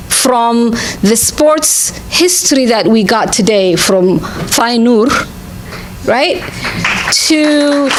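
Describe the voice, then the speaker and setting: Woman, conference speaker, higher voice, reflective and conversational, with some background noise. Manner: conversational, often checking in with "right?". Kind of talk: reflective public speech, partly improvised. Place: conference venue.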